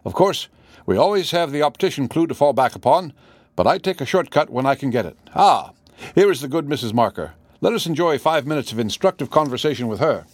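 Guy reading as a shrewd detective in a storybook, brisk, polite, sure of himself, mid pitch, dry sound. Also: big pitch swings, dry recording. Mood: musing, brisk. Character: male detective, brisk, shrewd, assured, courteous. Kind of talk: audiobook character voice.

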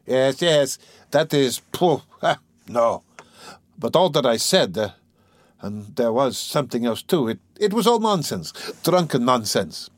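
A man voicing a flustered, excitable young man who stammers and blusters in a dramatised audiobook reading. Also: mid-range voice, a little slow, no room sound, pitched above the performer's own voice. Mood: embarrassed, apologetic. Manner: stammering, exclamatory, indignant.

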